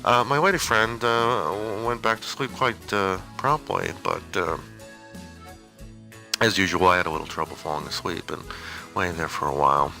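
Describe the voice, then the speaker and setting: A man's voice: deep voice, normal speed, vocal fry, pushed and bright, soft background music. A man giving an informal, rambling personal monologue with a hesitant, digressive and wry way of talking. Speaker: storyteller on an audio zine.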